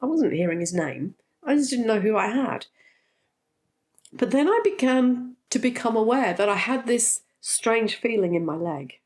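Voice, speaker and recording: A woman's voice: fairly high pitch, lively intonation, moderate pace, a little mellow. Congested woman chatting to camera. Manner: chatty and candid, frequent ums. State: congested from a cold. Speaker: spiritual teacher and vlogger. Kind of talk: casual talk to camera.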